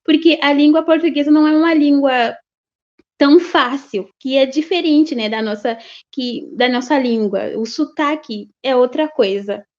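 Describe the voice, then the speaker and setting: Haitian-accented woman guest, high voice, hesitant and casual, dull lo-fi recording. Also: normal speed, a little mellow. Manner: hesitant, with fillers and restarts. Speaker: interview guest, student. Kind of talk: casual interview answer. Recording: dull lo-fi audio.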